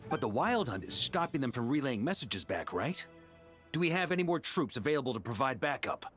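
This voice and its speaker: An actor playing an easygoing, confident military grand master in a video game, assured and commanding. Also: male voice, mid-range voice, very animated, a little fast, a bit bright. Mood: assured, decisive. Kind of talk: English video game voice acting. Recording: game music clearly underneath. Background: game music.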